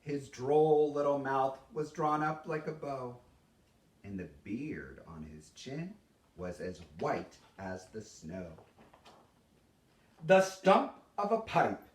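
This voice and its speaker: A man giving a theatrical poetry recitation as a storyteller, with dramatic, expressive delivery and deliberate pauses. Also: medium pitch. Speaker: storyteller reading a Christmas poem. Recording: roomy echo, distant microphone.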